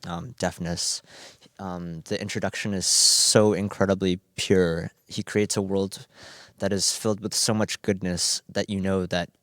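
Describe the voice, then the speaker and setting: Man, 20s, from New Jersey, very deep voice, earnest, eager, filler words, dry studio. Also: level tone, measured pace, a bit bright, no room sound. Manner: earnest, eager, lots of uhs and ums. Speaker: cellist, music student. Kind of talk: radio interview. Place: radio performance studio.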